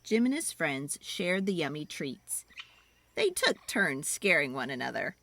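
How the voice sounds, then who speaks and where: A woman narrating children's picture books aloud in an expressive, theatrical way. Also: low voice, a little slow. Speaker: children's read-aloud storyteller.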